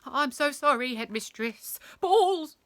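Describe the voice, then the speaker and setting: A woman voicing a pompous, flustered male schoolteacher in a storybook, booming and repeating words frantically. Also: big pitch swings, extremely slow, a bit bright, no room sound, exaggerated voice.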